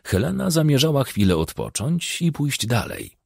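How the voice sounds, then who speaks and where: A man's voice: mid-range voice, very animated, moderate pace, creaky, husky, dry recording, clean studio sound. A man reading a scripted novel as an audiobook narrator, in a literary storytelling style.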